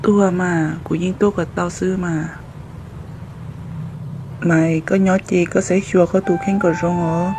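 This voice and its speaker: A woman's voice: lowish voice, little pitch movement, normal speed, pauses often, noisy background, close to an everyday voice. A woman voicing a chatty village woman in a homemade cartoon, talking in a plain, conversational way and recorded on a phone. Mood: chatting. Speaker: voice actor. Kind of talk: amateur cartoon voice acting. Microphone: phone microphone.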